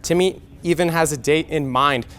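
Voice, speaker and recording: A man's voice: fairly high pitch, normal speed, fairly bright tone, slight background noise. A young man anchoring a scripted TV sports newscast. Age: young adult.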